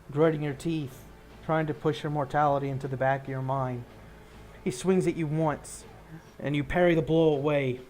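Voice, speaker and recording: A man running a tabletop roleplaying game as game master, improvising measured, descriptive combat narration between rules calls. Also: a little high, a little mellow.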